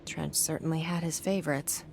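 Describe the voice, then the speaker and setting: An actress playing a guarded, brooding game heroine voicing her inner thoughts in a terse, hushed, wry way. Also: low-pitched, steady tone, moderate pace. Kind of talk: introspective game monologue. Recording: clear background noise.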